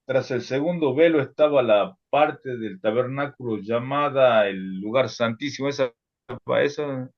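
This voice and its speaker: A man's voice: mid-range voice, unhurried, muffled recording. A man taking part in a class discussion, thinking aloud hesitantly with many fillers, heard over a video call. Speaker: student.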